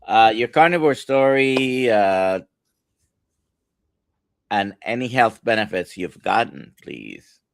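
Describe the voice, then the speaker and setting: A man's voice: on the low side, animated, gravelly, no room sound. A doctor from Central Florida hosts a morning livestream in an easygoing way, greeting viewers and inviting his guest to speak. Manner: easygoing, unhurried host.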